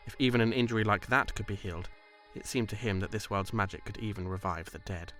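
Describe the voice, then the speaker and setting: Low-voiced male story narrator. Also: fast talker, fairly bright tone, faint music behind.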